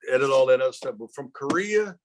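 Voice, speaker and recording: A male podcast host bantering casually with his guest. He jokes around in an easy, playful way, and his voice has a plain middle pitch. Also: animated, quick pace, background noise. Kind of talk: casual chat.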